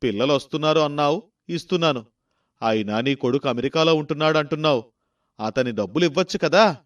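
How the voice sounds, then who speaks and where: A man voicing a gruff, sceptical male village moneylender in a story, needling and grudging. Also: on the high side, fast talker, close to an everyday voice. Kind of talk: storybook voice acting.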